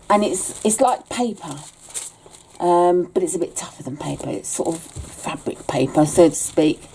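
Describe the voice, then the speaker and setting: A woman teaching a relaxed sewing tutorial at home, chatty, with frequent ums and small self-corrections. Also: fairly low pitch, poor audio. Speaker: bag maker teaching a sew-along.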